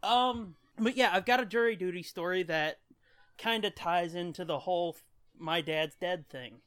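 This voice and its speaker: A man's voice: high voice, moderate pace, fairly bright tone, smooth. A man co-hosting a podcast in casual comedic chat, profane, irreverent and joking. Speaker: podcast co-host.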